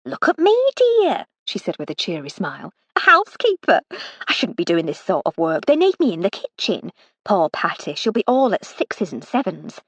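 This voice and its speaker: A cheery, motherly older cook, played by a woman, chatty and warmly bossy. Her voice bounces with lively pitch and has a husky grain. It sounds close and dry. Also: moderate pace, no room sound, heavily acted.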